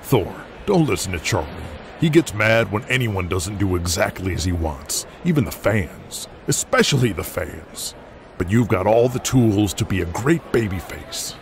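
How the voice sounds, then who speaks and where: An aging pro wrestler as a male actor plays him talks in a lowish voice. He is a worldly, persuasive veteran in an audio drama, with clear urban ambience behind him. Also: lively intonation, normal speed, slight background noise. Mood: encouraging.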